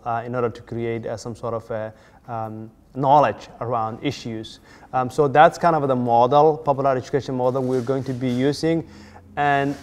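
A man in his forties, from Somalia, facilitating a community workshop in a measured way, with many fillers and restarts. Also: medium pitch, big-room sound. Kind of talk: workshop introduction. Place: community room, indoors.

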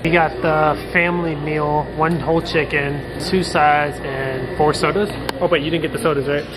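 A man's voice: on the high side, fairly even intonation, normal speed. A man in his twenties chatting casually as he reviews food for a vlog, recorded on a handheld camera. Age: 20s. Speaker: food vlogger. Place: restaurant, home kitchen, car, bedroom. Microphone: camera at arm's length. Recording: noisy handheld camera audio.

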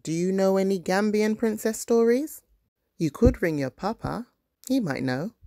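A woman voicing a kindly, encouraging grandmother in a storybook, gently questioning and reassuring. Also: medium pitch, lively intonation, measured pace, no room sound, pitched above the performer's own voice. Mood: practical, reassuring.

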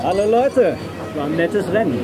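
An actor playing a cocky, self-assured young hero in an audio drama. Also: male voice, high voice, lively intonation, moderate pace, over a noisy crowd. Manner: cool, casual, self-assured.

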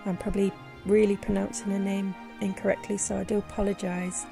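A woman with a sore, fading voice talks through a casual tutorial voice-over, her tone gravelly and mellow. She hesitates often, restarting her sentences. Soft music plays faintly behind her. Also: medium pitch, little pitch movement, a little fast. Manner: hesitant, many fillers and restarts. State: losing her voice, sore throat. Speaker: vlogger. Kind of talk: casual screen-recorded tutorial. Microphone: voice-over on screen recording.

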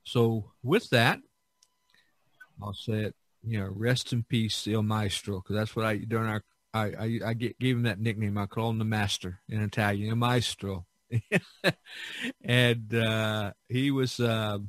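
A man's voice: on the low side, steady tone, no room sound. Rambling middle-aged male podcast host, dry close sound. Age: middle-aged or older. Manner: rambling, halting delivery with many pauses. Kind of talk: casual podcast chat.

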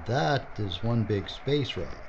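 A man's voice: fairly low pitch, expressive, takes it slowly. An actor, a man, playing a blunt, sarcastic, skeptical crew member in an audio drama. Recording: muffled, noisy background ambience.

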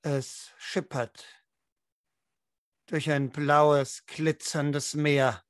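Higher-pitched man as game master, dry sound. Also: level tone, extremely slow, no room sound. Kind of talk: explaining and narrating a tabletop role-playing game. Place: indoor room at home, online video call.

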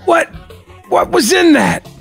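A man's voice: very high-pitched, big pitch swings, takes it slowly, gravelly, music in the background, pitched above the performer's own voice, full character voice. A man playing a suave secret agent hero who tosses one-liners in a comic improvised radio play. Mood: alarmed.

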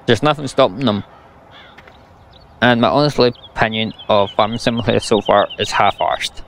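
Gravelly young Scottish man ranting. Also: fairly low pitch, normal speed, a bit bright, a little hiss or hum. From Scotland. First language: English. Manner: blunt, exasperated, sarcastic. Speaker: gaming YouTuber. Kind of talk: ranting gameplay commentary.

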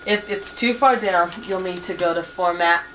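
A young woman patiently teaching word processing at a desk computer, with many pauses and ums, recorded on a 1990s camcorder in a small home office. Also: lowish voice, a bit bright, rough recording. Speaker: computer instructor. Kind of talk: hands-on software tutorial. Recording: 1990s camcorder with faint hiss.

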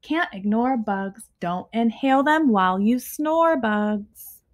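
A young adult woman reading a children's picture book aloud expressively, with deliberate, emphatic phrasing and pauses between short phrases. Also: on the high side, animated. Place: small living room at home, indoors.

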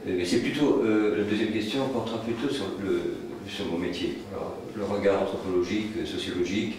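A man with a low voice puts a long question at a book event. He is hesitant, polite and wordy, circling toward his point. He sounds distant in a very echoey room. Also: brisk, a little mellow. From France, Paris area. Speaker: audience questioner, social scientist. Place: indoor meeting room at a public book event. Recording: very echoey room, distant mic, faint noise.

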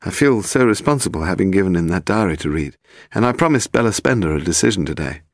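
A man voicing an anxious, flustered gentleman publisher in an audiobook. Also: deep voice, animated, fast, dry recording, studio quality. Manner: flapping, anxious.

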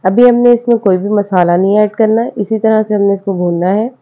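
A woman's voice: mid-range voice, steady tone, brisk. Friendly woman narrating a home recipe. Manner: friendly, repetitive home-cook explaining step by step. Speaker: cooking channel host. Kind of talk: voice-over recipe instructions. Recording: slightly dull, lo-fi sound.

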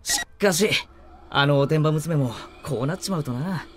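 A man's voice: a little high, moderate pace, a little hiss or hum, natural voice. A man playing a young father in a Japanese anime audio drama, talking in a casual, blunt, familiar way. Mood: concerned. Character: lively young father, worried about his daughter.

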